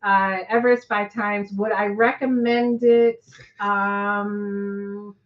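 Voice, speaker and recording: A woman co-hosting a casual live chat, rambling with many ums and restarts, her voice hoarse and nearly gone. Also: mid-range voice, little pitch movement, slow pace, lo-fi sound.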